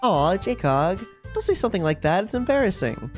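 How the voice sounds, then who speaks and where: A woman's voice: medium pitch, big pitch swings, brisk, darkish tone, faint music behind, lightly acted. A woman voicing a sweet, gushing teenage girl club president from a visual novel game, with an eerie devotion underneath. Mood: bubbly.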